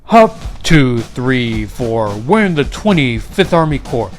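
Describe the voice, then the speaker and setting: A man's voice: medium pitch, sing-song, takes it slowly, faint music behind. Guy doing storybook bug soldiers on the march, chanting drill cadence, proud. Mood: rousing, drilled.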